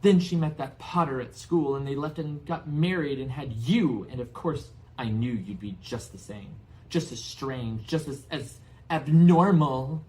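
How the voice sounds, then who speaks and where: A man's voice: mid-range voice, a little slow, hardly any pauses, mellow, lightly acted, poor audio. A man voicing a spiteful middle-aged aunt who rants resentfully and stammers with disgust in a storybook reading. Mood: bitter, contemptuous.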